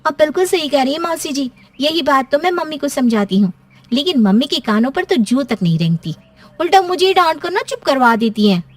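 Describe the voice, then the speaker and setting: A woman's voice: sits high, expressive, brisk. A woman playing an aggrieved, pouty young unmarried daughter in story dialogue, speaking plaintively.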